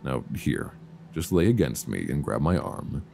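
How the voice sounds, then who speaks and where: A man playing an affectionate, reassuring boyfriend in an intimate, casual ASMR roleplay, speaking softly and soothingly close to a binaural mic. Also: very deep voice, sing-song, vocal fry, exaggerated voice. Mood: gentle, reassuring. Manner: soft, intimate, unhurried, soothing. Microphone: close binaural microphone. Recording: faint background noise, binaural.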